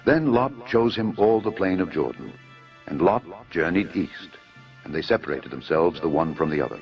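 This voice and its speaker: A man with a very low voice narrates scripture as a dramatised reading. His delivery is solemn and measured, like formal storytelling. Music plays clearly behind him, and the audio is slightly dull. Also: lively intonation. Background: background music.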